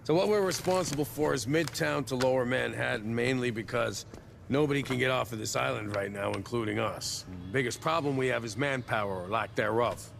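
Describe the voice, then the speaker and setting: A man acting as a weary, blunt security captain in a video game, skeptical and plain-spoken, with a gravelly voice. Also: medium pitch, a little fast. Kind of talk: dramatic game cutscene acting.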